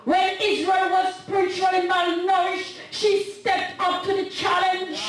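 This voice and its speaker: A middle-aged woman preaching a sermon at a women's convention, half-singing her lines and rising to shouts. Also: very high voice. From Canada. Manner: impassioned preaching, half-sung, with shouted peaks. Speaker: guest speaker at women's convention. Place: church sanctuary. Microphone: handheld mic. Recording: echoey church sound from a distant camera.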